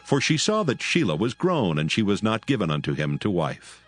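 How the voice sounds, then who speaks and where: A man narrating an archaic scripture text in a measured, solemn reading. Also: fairly low pitch, huge pitch swings, faint music behind.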